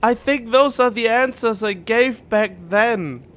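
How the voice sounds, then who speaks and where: A woman's voice: a little high, slow, a little hiss or hum. A woman streaming a game, reacting casually and informally while thinking aloud and questioning herself.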